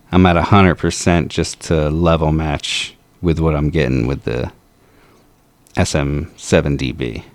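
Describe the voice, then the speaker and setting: A man with a low voice talking through a microphone demo in a home studio, slow and measured with frequent fillers. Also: darkish tone, no echo. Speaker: video host. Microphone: close to a studio microphone.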